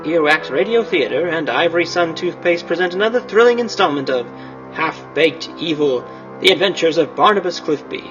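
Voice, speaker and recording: A man's voice: a little high, lively intonation, moderate pace. A man narrating as a dramatic old-time radio announcer. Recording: dull lo-fi sound with faint music.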